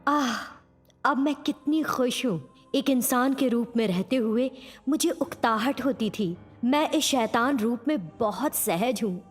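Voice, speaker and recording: A woman voicing a devoted, protective she-devil wife in a cartoon story, earnest and expressive. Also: fairly high pitch, a little slow, breathy edge, faint music behind. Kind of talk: Hindi cartoon story voice acting.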